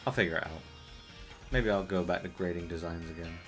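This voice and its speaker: A British man streaming a game, chatting casually in a rambling, profane way that he calls monotone. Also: low-pitched, fairly quick, faint music behind. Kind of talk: casual live-stream chat while gaming.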